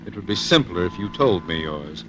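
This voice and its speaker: An actor playing a suave, unflappable American playboy detective, wry and bantering, in an old-time radio drama. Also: male voice, sits low, animated, fairly quick, background noise. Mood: puzzled, bantering.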